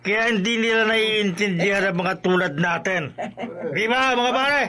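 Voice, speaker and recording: A man playing a hard-drinking construction worker and husband in a radio drama, whiny, defensive and quick to blame. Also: high-pitched, a crowd in the background. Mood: bitter. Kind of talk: emotive radio drama acting.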